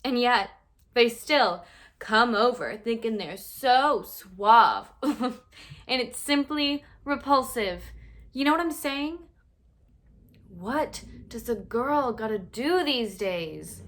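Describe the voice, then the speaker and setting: Brief: fed-up young woman, high voice, sarcastic and drawn out, played by an actress. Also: lively intonation, slow pace, a bit bright. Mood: exasperated. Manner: exasperated, sarcastic, mocking men's lines. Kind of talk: comedic monologue performance.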